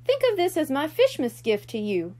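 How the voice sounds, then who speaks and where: Woman voicing an earnest high-pitched kitten-girl big sister. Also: wildly swooping pitch, moderate pace, a little mellow. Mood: kind, earnest. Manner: earnest, slightly worried.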